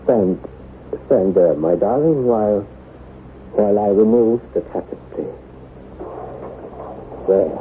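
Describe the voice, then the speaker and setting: A thin, noisy vintage radio recording carries a haunted nobleman husband. He speaks formally and gravely, with halting pauses and wide, theatrical swings of pitch, devoted and anguished. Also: expressive. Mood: tender, tense. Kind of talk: old-time radio drama acting. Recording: noisy, narrow-band vintage radio broadcast.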